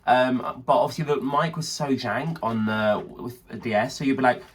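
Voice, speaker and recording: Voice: medium pitch, normal speed, breathy edge, recorded from a distance. A British podcast host in their twenties chatting casually in a campy, slangy way. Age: 20s. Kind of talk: casual chat.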